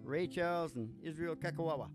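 An older man with a notably high voice hosts in a loose, folksy, joking style. He banters casually, with clear guitar music around his voice. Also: fairly quick. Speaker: host and musician. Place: small curtained studio stage. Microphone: stage microphone on stand. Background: acoustic guitar.

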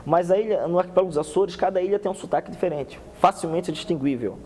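Fast-talking young man in a TV studio. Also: high voice, long reverb tail. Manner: fast, animated explaining with many restarts and hand gestures. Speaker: guest researcher. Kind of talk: TV studio interview. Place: TV studio set. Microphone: lapel.

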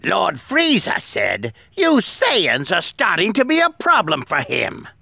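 A man's voice: high-pitched, wildly swooping pitch, slow, gravelly, bright, forceful tone, breathy edge, no echo, exaggerated voice. An actor playing a cruel alien henchman in an anime game, mocking and gloating as he threatens. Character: cruel, taunting male alien henchman of a tyrant. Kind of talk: dubbed anime game acting.

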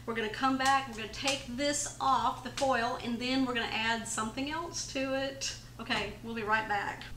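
A woman's voice: a little high, fairly even intonation, normal speed, a bit bright, a little hiss or hum. A middle-aged woman in her home kitchen, chatting viewers step by step through a casserole recipe. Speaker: home cooking channel host. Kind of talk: casual cooking demonstration.

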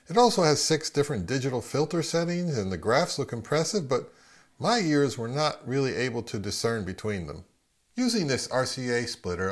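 A middle-aged man, narrating a product review of audio gear. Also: medium pitch.

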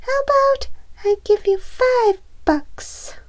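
Very squeaky, pleading little pigeon. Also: female voice, lively intonation, heavily acted. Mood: pleading, sulky. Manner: wheedling, childlike, theatrical, drawn-out delivery. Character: pleading, pushy, excitable little cartoon pigeon. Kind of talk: picture book read-aloud character.

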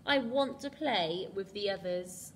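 A rich male crocodile from a picture book, eager and a little lonely, bursting out in high, pleading cries, as a woman puts him on while reading aloud. His voice is pitched up and lively, with a faint hum of the room behind. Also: unhurried, close to an everyday voice, rough recording. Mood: wistful.

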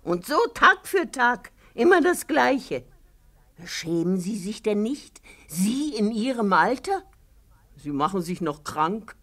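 A woman's voice: mid-range voice, very animated, takes it slowly, a bit bright, exaggerated voice. An actress playing a stern, sardonic maidservant in a 1950s radio play, commanding and motherly, speaking with deliberation. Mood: angry scolding. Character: stern, bossy maidservant, scolding yet motherly and complicit. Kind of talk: 1950s German-language radio play acting.

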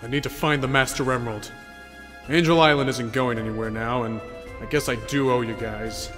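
A man's voice: mid-range voice, steady tone, a little fast, a bit bright, natural voice. A man voicing an earnest, gullible young echidna guardian who worries, in a cartoon comic dub. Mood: resolved. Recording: reverberant, music behind.

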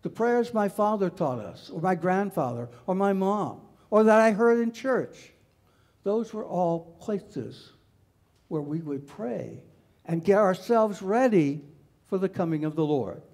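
An older man preaching a sermon as pastor in a large church sanctuary, in a measured way with repeated phrases, on a headset mic. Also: high-pitched, expressive, darkish tone.

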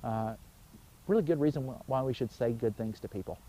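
A man in his forties, a pastor giving an informal, conversational sermon outdoors, telling stories with emphatic pauses. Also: medium pitch, dark tone, low-quality recording. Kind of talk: informal sermon, explaining scripture. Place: outdoors by a wooded pond.

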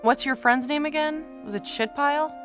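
A woman's voice: high-pitched, moderate pace, over music, natural voice. A woman voicing a jaded, foul-mouthed, menacing cartoon femme fatale, sarcastic and contemptuous.

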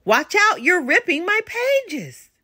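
Bossy little girl for a picture book, huffy exclamations, wildly animated pitch, read by a woman. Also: takes it slowly, full character voice. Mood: indignant. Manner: demanding, exclamatory. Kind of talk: children's storybook read-aloud.

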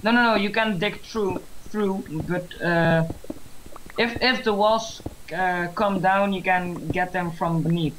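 A man chatting casually while gaming with a friend, halting with many fillers in broken English. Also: high voice, steady tone, a bit bright, rough recording. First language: Dutch. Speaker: co-host gamer. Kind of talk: casual gameplay chat. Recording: faint background noise, mouse clicks.

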